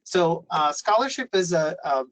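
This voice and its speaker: A man presents on a video call, his voice sitting a little high for a man. He explains things patiently, with plenty of fillers and restarts along the way. The sound is dry and close, with no room echo. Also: animated, a little slow, fairly bright tone. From Italy. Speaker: university presenter. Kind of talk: webinar presentation.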